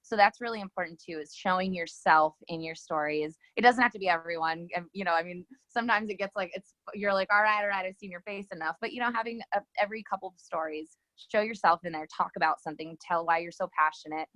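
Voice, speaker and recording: A woman's voice: lowish voice, fast, hardly any pauses, a bit bright, no echo, rough recording. Rambling woman giving social media tips. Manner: rambling, lots of ums and restarts. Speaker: guest social media coach. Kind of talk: casual advice chat.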